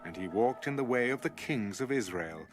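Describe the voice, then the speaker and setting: A man narrating an audio Bible in a measured, dramatic storytelling manner. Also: medium pitch, animated, soft background music. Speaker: scripture narrator.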